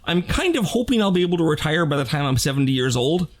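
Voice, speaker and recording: A man's voice: fairly high pitch, big pitch swings, fast. A man in his sixties talking candidly and conversationally to his fans in an opinion vlog, with emphatic delivery. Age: 60s. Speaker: game designer and video channel host.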